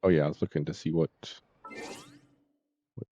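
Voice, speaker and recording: A man's voice: very deep voice, steady tone, normal speed, dark tone, a little hiss or hum. A middle-aged man live streaming a game, chatting casually in a rambling, easygoing way. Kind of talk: casual chat while gaming. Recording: dull, slightly muffled stream audio. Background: faint game music.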